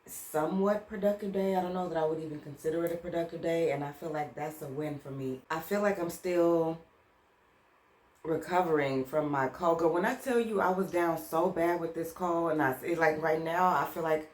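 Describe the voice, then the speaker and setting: Chatty woman vlogger, a touch stuffy from a cold, low voice, echoey room. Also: normal speed, darkish tone. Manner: chatty, addresses viewers as "girl". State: slightly congested from a lingering cold. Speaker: vlogger and author. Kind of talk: casual chat to camera. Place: at home. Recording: roomy echo.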